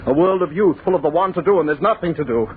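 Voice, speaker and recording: An actor playing a bitter, world-weary immortal of a future world in an old radio horror drama, with a condescending, impassioned, theatrical delivery. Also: male voice, a little high, fast talker, close to an everyday voice. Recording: muffled, noisy old radio recording.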